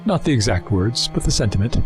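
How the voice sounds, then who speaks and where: A man's voice: mid-range voice, very animated, fairly quick, soft background music, close to an everyday voice. An actor playing a sly, talkative male alien time traveller in an audio drama, with a wry, mocking, chatty manner. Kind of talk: full-cast audio drama acting.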